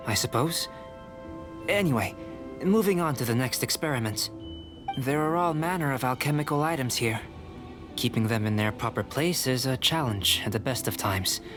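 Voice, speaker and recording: A man voicing a polite, composed young male alchemist who speaks in a measured, gently teasing way, heard over game music. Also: medium pitch, close to an everyday voice. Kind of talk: game voice acting. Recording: studio game audio with music behind. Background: clear music.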